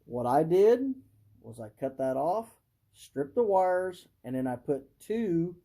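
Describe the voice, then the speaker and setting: A man casually presenting a hands-on hobby demonstration, talking haltingly and thinking aloud as he works. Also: on the high side, mellow.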